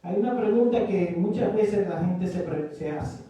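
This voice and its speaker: A man hosting a church service and interpreting the guest's sermon phrase by phrase, halting with fillers and restarts. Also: high-pitched, dark tone, dull audio. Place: church sanctuary. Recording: echoey church hall from far off.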